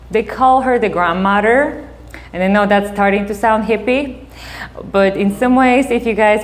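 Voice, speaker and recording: A woman in her forties with a Bulgarian accent, a psychotherapist giving a casual public talk, animated and laughing often. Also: lowish voice. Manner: animated, laughs often, quick bursts of excitement.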